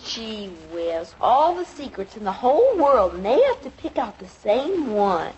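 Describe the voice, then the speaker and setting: A woman's voice: on the high side, big pitch swings, a little slow. An actress playing a glum, stubborn, whiny little girl in a 1950s radio sitcom. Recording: noisy, muffled old radio recording.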